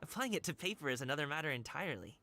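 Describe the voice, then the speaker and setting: A woman's voice: deep voice, sing-song, rapid-fire, a crowd in the background. A woman playing an earnest, friendly young male guard in a video game, with music playing behind. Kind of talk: video game dialogue acting.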